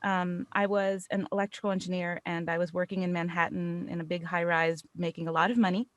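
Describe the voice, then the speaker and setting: Animated American woman telling casual anecdotes. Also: lowish voice, a little fast, dry recording, lo-fi sound. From United States. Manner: animated storyteller, self-deprecating, acts out quotes. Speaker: podcast guest, voice actor and business owner.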